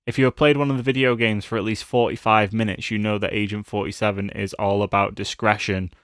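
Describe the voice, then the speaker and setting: A man with a fairly low voice talks casually as a podcast host. He is chatty and jokey, bantering easily with a co-host. The sound is dry and close, with little room in it. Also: flat delivery, fast talker, a bit bright, clear, resonant tone, no room sound. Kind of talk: casual film discussion podcast.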